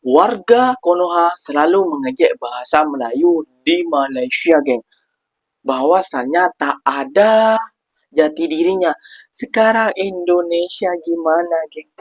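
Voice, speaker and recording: A man's voice: on the high side, lively intonation, unhurried, dry recording. A man hosting a reaction video, chatting casually with viewers. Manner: chatty, repeatedly addresses viewers as "geng". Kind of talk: casual commentary.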